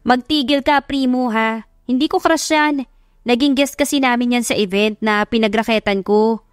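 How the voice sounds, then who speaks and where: A woman's voice: on the high side, moderate pace, clean tone, no echo, clean studio sound, soft background music, lightly acted. A woman voicing an eager, respectful and easily flustered young working woman in an audio drama, with a polite, bubbly manner. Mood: flustered, defensive. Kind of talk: Tagalog audio drama acting.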